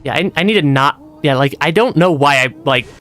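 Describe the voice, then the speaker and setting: A man with a bright, tense voice that swings widely in pitch while he streams a game. His voice is going hoarse and breaks now and then, and he giggles and stammers through his casual commentary. Music plays faintly behind him. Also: animated, a little fast. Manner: giggly, laughs often, stammers and repeats words. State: losing his voice, voice cutting out.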